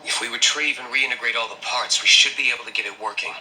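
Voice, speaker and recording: A man playing a serious, reserved and analytical gentleman warrior in an anime fantasy game, measured and understated. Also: mid-range voice, slight background noise, poor audio.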